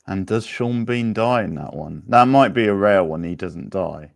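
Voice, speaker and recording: A man with a low, creaky voice hosts a quiz in a casual, conversational way. The sound is dry and close, with a gravelly edge to his relaxed chat. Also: normal speed, no room sound. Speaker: quiz host.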